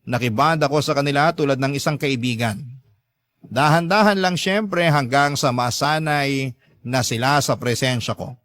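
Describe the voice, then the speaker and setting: A man with a Filipino accent hosting and narrating a scripted dramatic story in a measured, sentimental way. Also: mid-range voice, fairly bright tone, no room sound. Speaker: storytelling host. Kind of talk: scripted dramatic narration, reading a listener's letter.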